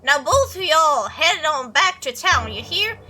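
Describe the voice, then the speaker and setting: Woman voicing a cantankerous old Southern farmer, lively pitch. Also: a little slow, faint music behind, exaggerated voice. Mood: stern warning. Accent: put-on Southern US. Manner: folksy, scolding, cantankerous. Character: gruff, stubborn old male country chicken farmer and hunter.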